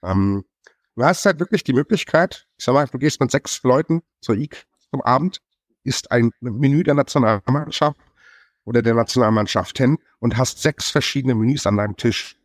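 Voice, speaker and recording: A man from a national chefs' association explaining in a podcast conversation, listing things in a brisk, enthusiastic way. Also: medium pitch, animated, no echo, studio quality. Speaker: chef association representative.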